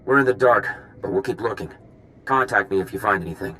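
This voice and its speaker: An actor playing a dutiful intelligence field officer in a video game, speaking in a crisp, respectful, earnest way. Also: male voice, lowish voice, fast talker, bright, forceful tone. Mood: brisk, dutiful.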